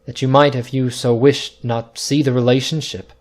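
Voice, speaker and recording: A man's voice: mid-range voice, flat delivery, normal speed, close to an everyday voice. A man playing an old father in a storybook drama, earnest and insistent with his family. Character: old father, stubborn but soothing husband.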